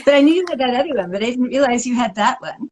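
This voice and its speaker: A woman's voice: a little high, lively intonation, fast, slight background noise. Woman in her 60s, from montreal, interview guest, dry wry humor, thoughtful, video call from living room. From Montreal, Canada. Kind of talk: casual interview.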